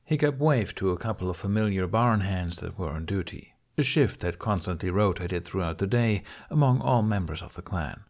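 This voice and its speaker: A man's voice: deep voice, animated, moderate pace, hardly any pauses, a little mellow. A man reading a scripted story aloud as an audiobook narrator. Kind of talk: scripted narration of fan fiction.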